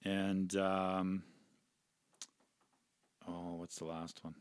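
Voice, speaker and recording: A man's voice: sits low, little pitch movement, very slow, smooth. A former pro hockey player, a man, talking earnestly and reflectively as a podcast guest, often checking in with "right?". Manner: reflective, earnest, peppers talk with "right?" and "you know". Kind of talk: casual interview chat.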